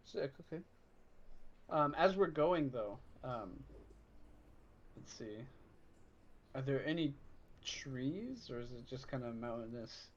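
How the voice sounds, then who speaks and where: A man's voice: mid-range voice, animated, slow, pauses often. A man playing a tabletop role-playing game, talking in casual, slangy banter on a dull recording. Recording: dull lo-fi sound, background noise.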